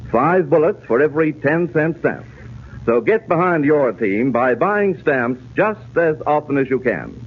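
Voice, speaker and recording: A male American announcer makes a direct wartime appeal on 1940s radio. His husky voice is a little high and persuasive. The recording is thin and phone-like. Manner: direct, exhorting.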